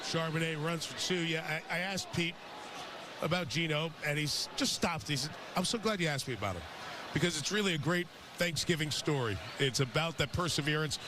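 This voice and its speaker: A man's voice: fairly high pitch, fairly quick. A man with an American accent calling football play-by-play on a broadcast, mixing calls with storytelling. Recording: game broadcast audio under loud noise.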